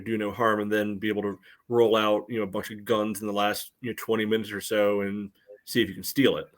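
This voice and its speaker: A man with a lowish voice talks through soccer tactics on a podcast. His pitch stays very even, and his manner is measured and dryly wry, in informal conversation. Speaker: sports podcast panelist. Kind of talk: casual soccer analysis chat.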